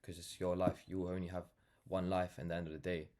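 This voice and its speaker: A man's voice: deep voice, flat delivery, quick pace, a little mellow. A nineteen-year-old man with a London accent talking casually and reflectively to camera, earnest and rambling, often saying "like" and "you know". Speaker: vlogger, brand founder.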